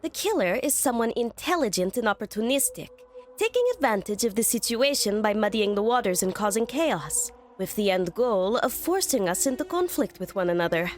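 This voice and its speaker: A woman's voice: mid-range voice, sing-song, a little fast, a little hiss or hum, natural voice. A woman playing a composed, analytical young student profiler in an audio drama, formal and precise.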